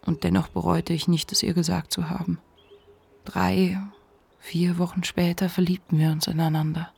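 An actor playing a reflective, ashamed narrator confiding a memoir in a measured literary radio monologue. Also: medium pitch, level tone, gravelly, darkish tone, breathy edge, heavily acted. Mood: reflective, confessional.

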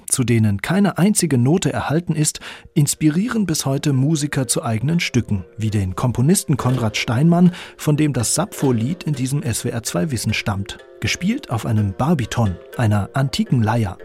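A man with a slightly husky voice narrating a scripted radio feature in an articulate, clearly structured way. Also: mid-range voice, lively intonation, moderate pace, a little mellow, soft background music. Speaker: radio documentary narrator and reporter. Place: dry studio.

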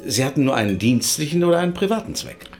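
A man acting in a German radio drama as an earnest lawyer appealing for help in a deliberate way. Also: on the high side, big pitch swings, darkish tone. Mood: earnest, pleading. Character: earnest, pleading male lawyer seeking help.

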